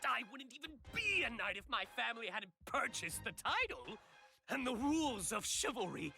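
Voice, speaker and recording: Bitter knight for a fantasy game: forceful, animated swings in tone, sharp and sardonic. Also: female voice, a little high, normal speed, vocal fry, faint music behind, heavily acted. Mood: bitter, desperate. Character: bitter, self-deprecating, desperate knight of bought nobility. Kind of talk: dramatic video game voice acting.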